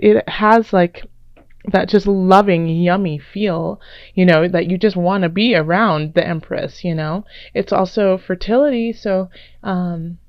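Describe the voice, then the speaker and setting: A woman reading tarot cards in a casual, rambling way, her voice raspy and a little hoarse from a recent illness, with many fillers and restarts. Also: medium pitch, dry recording, dull audio. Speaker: tarot reader.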